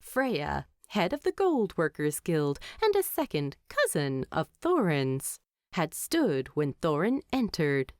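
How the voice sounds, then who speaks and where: A woman narrator reading fan fiction aloud in an expressive storytelling style. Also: low-pitched, slow, no echo, clean studio sound. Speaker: audiobook narrator.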